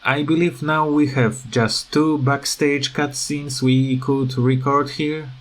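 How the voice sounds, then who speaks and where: Slow, hesitant man with a non-native English accent. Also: mid-range voice, level tone. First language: not English. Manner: hesitant, halting, repeats words. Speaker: gaming video creator. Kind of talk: rambling unscripted game commentary.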